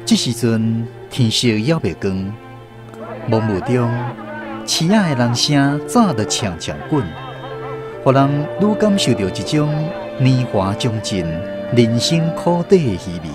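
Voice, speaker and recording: A man with a Taiwanese accent narrating a literary radio drama from a script. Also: medium pitch. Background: plucked guitar music.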